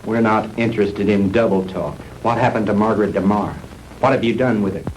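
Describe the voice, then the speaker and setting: An actor playing an earnest, indignant investigator with an American accent, speaking in stiff, measured 1960s B-movie style. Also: male voice, lowish voice, fairly even intonation, a little mellow, natural voice. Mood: demanding. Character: earnest, indignant male investigator confronting a villain. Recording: noisy old 1960s film soundtrack.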